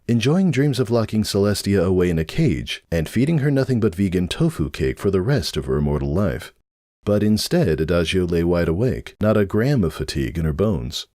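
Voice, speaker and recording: A man's voice: sits low, a little mellow, dry recording, studio quality. A man narrating a story in a scripted, dramatic reading style. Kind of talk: dramatic fan fiction narration.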